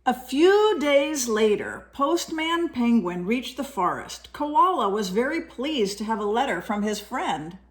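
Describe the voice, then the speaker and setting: A woman with a fairly high, very lively voice reads a children's story as a teacher would. She is expressive and pauses for simple explanations aimed at young listeners. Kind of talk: children's storybook read-aloud with simple asides.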